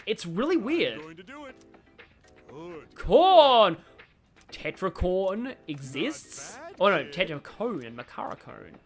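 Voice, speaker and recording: A woman's voice: on the low side, big pitch swings, slow, long pauses, soft background music. A woman live streaming a game, thinking aloud in a rambling, opinionated way as she chats with viewers. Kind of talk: casual gaming commentary, chatting with viewers.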